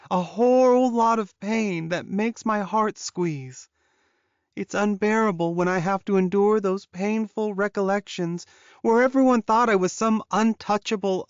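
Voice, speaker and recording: A man performs a young werewolf woman in a fantasy audiobook, pitched well above an ordinary narrating voice. She speaks with raw, pleading earnestness about a painful past. The sound is dry and rather dull. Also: a little mellow, smooth, no echo. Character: tearful young female werewolf confessing her painful past.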